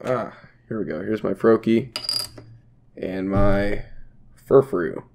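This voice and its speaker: A man's voice: on the low side, slow, gravelly, noisy background. Stuffy-voiced young man opening card packs. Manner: rambling, filler-heavy, laid-back. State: stuffy from a cold. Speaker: YouTube card-opening vlogger. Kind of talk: casual unboxing chat to viewers. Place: home desk, indoors.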